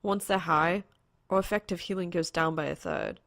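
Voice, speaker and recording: A woman's voice: fairly low pitch, normal speed, vocal fry, fairly bright tone, no echo. A woman voicing a stuck-up perfectionist male gamer who leads raids, in a dry, pedantic manner. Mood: dry, matter-of-fact. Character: stuck-up perfectionist male guild raid leader.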